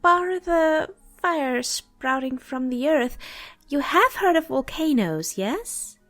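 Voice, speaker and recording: Lively, higher-voiced goddess of life and death played by a woman. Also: no echo, soft background music, heavily acted. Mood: challenging. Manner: articulate, challenging, measured wording. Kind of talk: fantasy audio drama acting.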